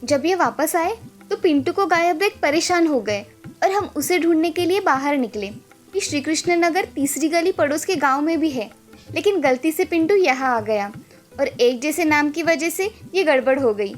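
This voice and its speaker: A woman voicing an apologetic young mother, explaining earnestly in an animated fairy tale. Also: sits high, normal speed, faint music behind.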